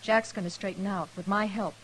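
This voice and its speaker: A woman's voice: medium pitch, fairly even intonation, brisk, fairly bright tone, natural voice, poor audio. An actress playing an earnest, devoted young frontier woman in an old radio western, pleading her case. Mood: earnest, insistent. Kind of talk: old-time radio western drama acting. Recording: hissy vintage radio recording.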